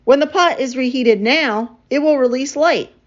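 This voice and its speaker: A woman voicing an eager young male archaeologist in a children's storybook, explaining things excitedly. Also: sits high, normal speed, muffled recording, pitched above the performer's own voice, close to an everyday voice. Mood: keen, explaining.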